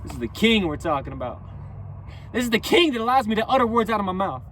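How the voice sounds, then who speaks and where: A man delivering a rhythmic, rhyming spoken-word freestyle with a preachy, emphatic and loud delivery. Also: high voice, sing-song, fast, slight background noise. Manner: loud, near-yelling delivery.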